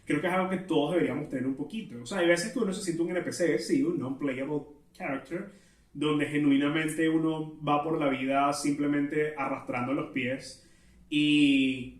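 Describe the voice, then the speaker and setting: Chatty Venezuelan man in an echoey room. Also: on the high side. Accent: Venezuelan Spanish. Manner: chatty, digressive, self-aware. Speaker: podcast host. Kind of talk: casual solo podcast monologue. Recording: roomy echo, distant from mic.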